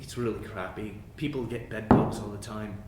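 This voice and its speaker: A man's voice: fairly even intonation, normal speed, natural voice. A man playing a helpful young map boy at a town stand in a tabletop roleplaying game. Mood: helpful, frank. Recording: roomy echo, low rumbling background noise.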